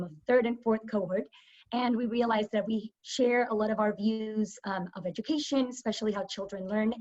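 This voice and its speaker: A woman's voice: a little high, highs cut off. A woman from Panama, a former teacher, introducing herself casually on a video call with frequent ums. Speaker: workshop guest, former teacher.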